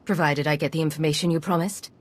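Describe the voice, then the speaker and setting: A woman's voice: low voice, steady tone, quick pace. A woman playing a stern, commanding agent in a video game, speaking in a cool, firm and threatening way. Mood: firm, businesslike. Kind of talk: video game character acting.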